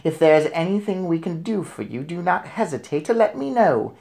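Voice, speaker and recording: A man's voice: a little high, expressive, moderate pace, gravelly, a little mellow, pitched above the performer's own voice. Man putting on a pompous, fawning hotel manager. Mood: fawning, formal. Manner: formal, obsequious. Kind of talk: storybook character voice.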